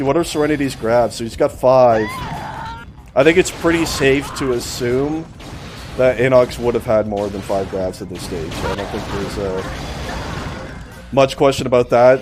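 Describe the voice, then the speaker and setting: A man doing live play-by-play as an esports shoutcaster. He is losing his voice and bursts into excited shouting on big plays. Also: mid-range voice.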